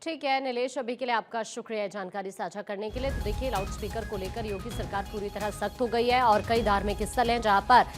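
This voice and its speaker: A woman's voice: medium pitch, brisk, few pauses. A woman anchoring live TV news, presenting in an emphatic, repetitive headline style. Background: news music bed.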